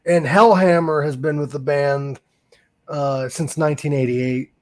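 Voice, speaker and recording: A man's voice: on the high side, steady tone, unhurried. A man hosting a casual podcast, chatting and correcting details with a fussy, talkative manner. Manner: chatty, fact-correcting, laughs at dark humor. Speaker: podcast host.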